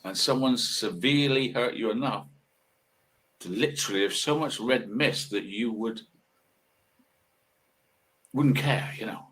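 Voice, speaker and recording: A man in his sixties talks in a medium-pitched voice. His monologue is casual and rambling, moving haltingly through musings and rhetorical questions. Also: fairly bright tone, poor audio. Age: 60s. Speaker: video monologue host.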